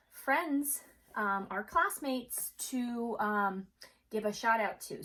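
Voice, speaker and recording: A woman with a bright, clear-cut voice at a medium pitch speaks as a primary school teacher to her young class. She is chatty and expressive, in the style of an upbeat video lesson with a read-aloud. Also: rough recording. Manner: chatty, addresses children as friends, expressive. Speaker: third grade teacher.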